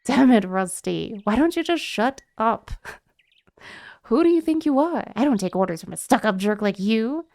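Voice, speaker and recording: A woman's voice: on the high side, expressive, moderate pace, gravelly, a little mellow. A woman voicing a cocky, casual young male adventurer in a video game, brash and informal. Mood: angry.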